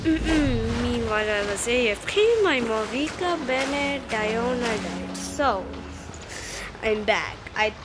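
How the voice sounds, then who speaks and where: A woman's voice: fairly high pitch, slow pace, heavy background noise. A woman giving casual gameplay commentary as a game streamer. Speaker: gaming streamer. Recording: loud game music behind the voice. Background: video game music and sounds.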